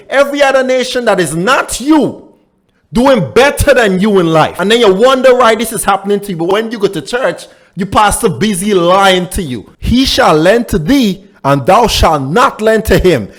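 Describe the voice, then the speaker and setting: A man from Guyana teaching from scripture, reading verses slowly and emphatically in a sing-song rise, then explaining them in casual everyday talk. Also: sits high. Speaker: religious teacher. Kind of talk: preaching scripture lesson.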